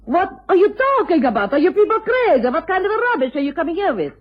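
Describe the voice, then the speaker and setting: A woman's voice: extremely high pitch, sing-song, fast. An actress playing a haughty, indignant lady swindler posing as a foreign signora, theatrical and imperious, in a radio drama. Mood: outraged. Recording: dull, lo-fi old radio broadcast.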